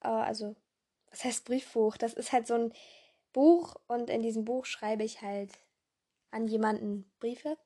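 A young woman with a hoarse voice hosting a casual podcast in a rambling way, full of fillers and self-corrections, jumping between voices. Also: on the high side, steady tone, unhurried, dark tone. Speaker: podcast host.

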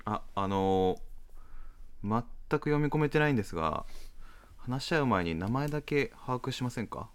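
A man plays a bewildered male straight man in a radio drama. He is polite but incredulous, hesitant and prone to stammering. His mid-range voice swings widely in pitch. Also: animated, measured pace, clear, resonant tone, close to an everyday voice. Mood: confused. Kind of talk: Japanese radio drama acting.

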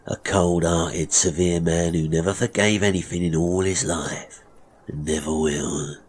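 A man's voice: low-pitched, steady tone, a little slow. A man voicing a menacing, mocking murderous barber in a Victorian melodrama story. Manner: mocking, threatening, chuckling, oily when polite. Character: menacing, sneering murderous male barber, gloating villain.

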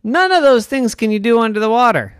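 A storyteller voicing a chatty, know-it-all talking kelp plant in an improvised bedtime story, talking fast and bouncy with a teasing repetitive patter. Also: medium pitch, big pitch swings, no room sound, studio quality, lightly acted.

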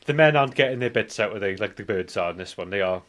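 A man's voice: lowish voice, expressive, fast, fairly bright tone. A British man in his forties co-hosting a casual film podcast, making points emphatically and repeating himself for effect. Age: 40s. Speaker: film review podcast co-host.

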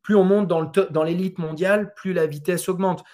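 A man from France with a fairly high voice answers interview questions in relaxed conversation. He hesitates a lot, filling gaps and restarting his sentences as he explains. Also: a little fast. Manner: hesitant, many fillers and restarts. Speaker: Trackman representative on golf tours.

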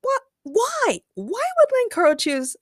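A woman's voice: very high-pitched, huge pitch swings, slow pace, no room sound, clean studio sound, pitched above the performer's own voice, heavily acted. A woman voicing an emotional, chatty female contestant exclaiming in a confessional. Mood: furious disbelief. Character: indignant, chatty young woman venting in confessional.

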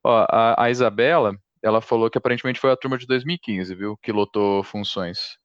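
Smooth-voiced man hosting a chat. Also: lowish voice, fairly quick, no room sound, dull audio. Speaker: host. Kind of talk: casual conversation.

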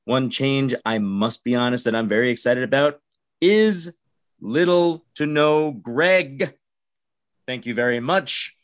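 A man's voice: mid-range voice, slow pace. A man hosting a podcast in scripted comedic banter, sounding exasperated. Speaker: podcast host.

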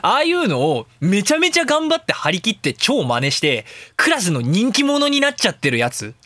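A man's voice: sits high, huge pitch swings, a little fast, a bit bright, dry recording, clean studio sound. A man hosting a casual comedic radio talk show, rambling and self-mocking and jumping into bits and catchphrases.

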